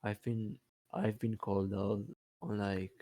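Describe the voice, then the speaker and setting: A man who is not a native English speaker, chatting casually in a halting way with repeated words and many fillers. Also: on the low side, little pitch movement, dark tone, no room sound. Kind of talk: casual hesitant chat.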